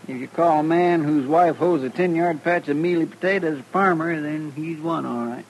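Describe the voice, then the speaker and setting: An actor playing a folksy, hard-up frontier sidekick with a rural Western drawl in an old-time radio western. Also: male voice, fairly high pitch, normal speed, natural voice. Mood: easygoing, grumbling. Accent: rural Western American drawl. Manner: folksy, rambling, colloquial. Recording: noisy old radio drama recording.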